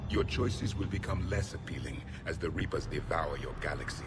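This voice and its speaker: A man's voice: deep voice, moderate pace, loud music behind the voice, lightly acted. A man playing a stern ancient alien warrior. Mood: grim. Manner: cold, formal, solemn. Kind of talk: video game voice acting.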